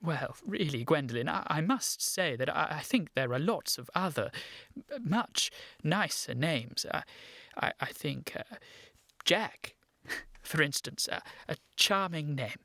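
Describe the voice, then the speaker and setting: A man playing a nervous, lovestruck gentleman in his late twenties in a comic radio play, earnest and hesitant, tripping over his words. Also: on the high side, lively intonation, a little slow, vocal fry, no room sound, studio quality, exaggerated voice. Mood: flustered, eager. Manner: hesitant, flustered, stammering, earnest. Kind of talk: theatrical comedy-of-manners radio acting.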